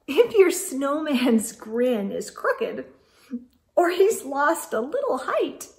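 A middle-aged woman hosting a children's storytime, reading a picture book aloud in an expressive, sing-song way and talking directly to her young listeners. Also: on the high side. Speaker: host of children's storytime show. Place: indoors in a room lined with bookshelves.

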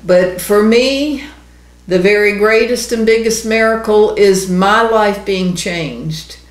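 A woman's voice: medium pitch, slow pace. Earnest woman teaching, roomy sound. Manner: earnest, emphatic. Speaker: ministry co-host, Bible teacher. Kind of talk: conversational Bible teaching. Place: small indoor room. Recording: roomy, echoey indoor sound.

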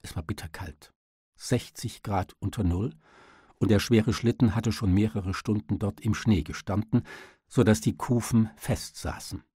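A man's voice: on the low side, fairly even intonation, unhurried, warm tone, breathy edge, no room sound, clean studio sound. A man narrating an audiobook, reading scripted literary prose.

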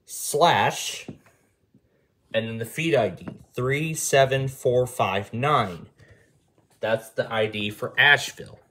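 A man's voice: mid-range voice, sharp tone. A man giving a casual step-by-step tutorial, often repeating and rephrasing himself as he explains. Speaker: tutorial host. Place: indoor room at a table.

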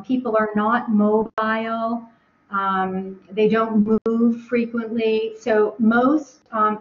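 An American woman answering questions as a webinar guest from home, rambling with many ums and restarts. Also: on the high side. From United States. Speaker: guest speaker. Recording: echoey, dull video call audio.

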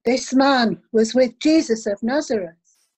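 A woman's voice: fairly high pitch, measured pace, a little mellow, breathy edge. A woman reading the part of an accusing witness in a dramatic scripture reading. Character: accusing witness, servant girl or bystander.

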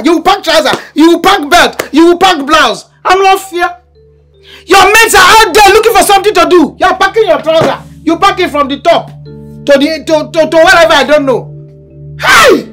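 Brief: exasperated mother, high and animated, sharp scolding. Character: exasperated mother scolding her grown child.

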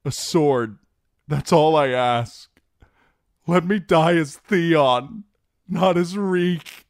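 A man's voice: mid-range voice, measured pace, dry recording, clean studio sound, voice pushed up, natural voice. A man voicing a broken, terrified young nobleman in an audiobook, pleading in anguish and desperation. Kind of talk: dramatic audiobook character acting.

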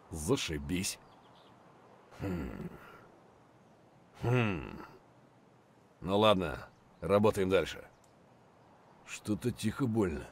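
An actor playing a gruff, wary, foul-mouthed male mercenary soldier in terse video game voice lines. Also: sits low, a little mellow, full character voice. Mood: searching, terse.

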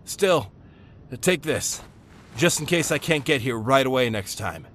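A man's voice: mid-range voice, expressive, unhurried, a bit bright, slight background noise. A man voicing earnest young heroes in a dramatized comic dub. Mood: reassuring. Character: cocky street vigilante Casey, and gentle bewildered young turtle.

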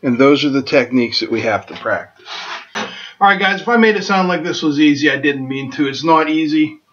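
A man's voice: fairly high pitch, lively intonation, fairly quick, a bit bright, dull audio. A middle-aged man hosting a welding lesson in his home workshop, talking in a plainspoken, folksy way with self-deprecating jokes. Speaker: welding instructor and host. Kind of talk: casual how-to demonstration.

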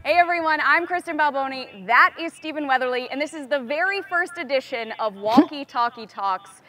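A woman's voice: high voice, expressive, brisk, pushed and bright, soft background music. A young woman doing a casual, playful interview as a team reporter, chatty and upbeat, outdoors. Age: young adult. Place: outdoors in stadium stands.

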